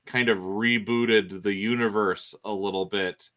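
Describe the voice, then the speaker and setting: Man, lowish voice, unhurried, halting, casual explainer, podcast panelist. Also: fairly even intonation, fairly bright tone. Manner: halting, pauses mid-phrase.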